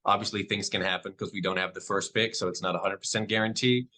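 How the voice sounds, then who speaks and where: A lowish, bright-toned man from the Netherlands answers interview questions in calm, measured English with no accent. Dutch is his first language. He pauses often on soft ums. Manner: soft-spoken, measured, with frequent "um". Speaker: padel club owner.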